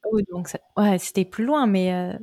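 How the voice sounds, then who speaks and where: A woman interviewing a couple, asking questions in a relaxed, conversational way. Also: medium pitch, normal speed, no echo, clean studio sound.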